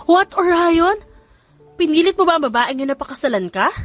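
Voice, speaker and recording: A woman's voice: very high voice, lively intonation, normal speed, no room sound, soft background music, voice pushed up. A woman with a Filipino accent voicing a protective, firm mother of grown children in an audio story, admonishing and principled. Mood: shocked, scolding.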